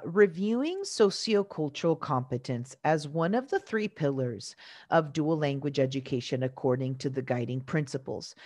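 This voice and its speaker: Low-voiced woman giving a measured conference talk. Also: darkish tone, no room sound, clean studio sound. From Venezuela. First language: Italian. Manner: earnest and measured, pausing often to find words. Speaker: dual language teacher presenting at a conference. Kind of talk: conference presentation.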